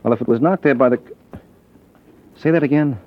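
An actor playing a tough, unflappable private detective with a dry, wisecracking, slangy way of talking in an old-time radio drama. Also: male voice, medium pitch, brisk, close to an everyday voice. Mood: probing, reassuring. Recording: dull, lo-fi old radio recording.

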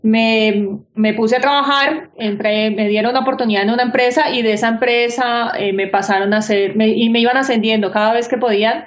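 Woman, architect guest, rambling earnest answers, higher voice, muffled lo-fi. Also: steady tone, a little fast, hardly any pauses. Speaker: architect, business owner. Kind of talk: interview answers. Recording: dull lo-fi sound.

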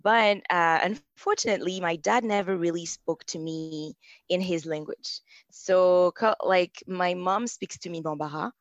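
A young woman in her twenties talks easily about herself as an interview guest. Her voice sits a little low, and she laughs often between thoughts. The sound is dull and very dry. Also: measured pace, no room sound. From Germany. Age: 20s. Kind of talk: casual chat.

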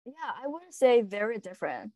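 A woman's voice: on the high side, sing-song, darkish tone, dry recording, muffled recording. Chinese-accented young woman, dry close sound. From China. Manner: hesitant, frequent fillers and pauses. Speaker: guest, translation student. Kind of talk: casual interview answers.

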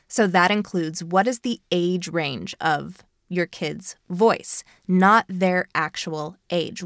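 A woman's voice: on the low side, dry recording, studio quality. A woman hosting a podcast, giving instructive, explanatory advice. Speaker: podcast host.

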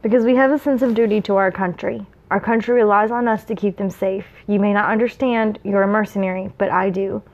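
A woman's voice: mid-range voice, steady tone, a little fast, few pauses, darkish tone, close to an everyday voice. A woman reading a storybook's young male soldier, firm, earnest, dutiful and defensive. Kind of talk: storybook read-aloud dialogue. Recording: dull lo-fi recording.

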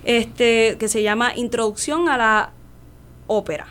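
A young Puerto Rican woman with a higher voice, the music student being interviewed on a local program, recounting things with animated emphasis. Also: unhurried, a little hiss or hum. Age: young adult. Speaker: guest, classical soprano and voice student. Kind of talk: casual interview chat.

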